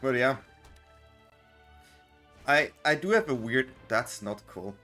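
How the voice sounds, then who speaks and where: Chatty man with an accent over soft music. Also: medium pitch, expressive, slow. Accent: unplaced non-native accent. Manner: chatty, loose, with many fillers and restarts. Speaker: content creator and streamer. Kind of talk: casual gameplay commentary.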